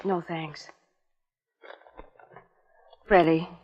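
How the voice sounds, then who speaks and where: A woman's voice: deep voice, fairly even intonation, very slow, husky, lo-fi sound, heavily acted. A woman playing a troubled young wife haunted by nightmares, earnest and hesitant, in a 1950s radio suspense drama. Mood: anxious, earnest. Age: young adult. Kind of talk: 1950s radio suspense acting and first-person narration.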